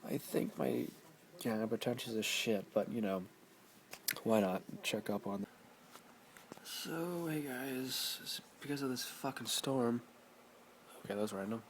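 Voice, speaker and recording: A man's voice: mid-range voice, measured pace, pauses often, slight background noise. A man in his twenties, tired, rambling and swearing constantly in a casual vlog. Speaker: vlogger.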